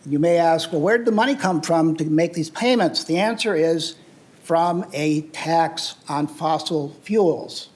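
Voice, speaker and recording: An older man from the United States giving an earnest, explanatory public talk with slides, often pausing with "uh" and restarting phrases. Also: on the high side, a little mellow, a little hiss or hum. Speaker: presenter on travel and sustainability.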